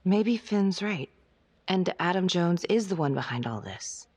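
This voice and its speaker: An actress playing a rattled, weary female police detective in a naturalistic video game drama, with a husky voice. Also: fairly low pitch, expressive, measured pace, darkish tone, a little hiss or hum. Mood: weary, uneasy.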